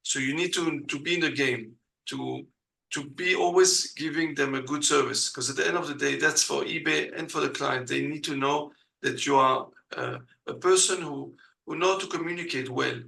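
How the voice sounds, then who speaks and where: A man from Belgium speaks as an in a casual interview. His voice is gravelly yet bright and forward. He talks hesitantly and fills his pauses with plenty of "uh". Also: on the high side, rough recording. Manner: hesitant, many uh fillers, non-native grammar. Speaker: guest.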